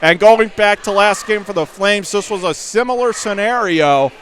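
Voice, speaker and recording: A man calling live basketball play-by-play for radio in clipped, urgent phrases that rise into excited calls. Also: high-pitched, normal speed. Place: indoor high school basketball gym. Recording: game crowd and gym noise behind.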